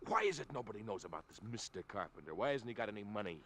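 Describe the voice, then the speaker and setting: An actor playing a brash, self-seeking American boyfriend in a 1950s radio drama, emphatic, slangy and impatient. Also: male voice, on the low side, sing-song, rapid-fire, a bit bright, full character voice. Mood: smug, suspicious. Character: brash, self-seeking young businessman boyfriend, excitable and boastful. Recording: vintage radio drama recording.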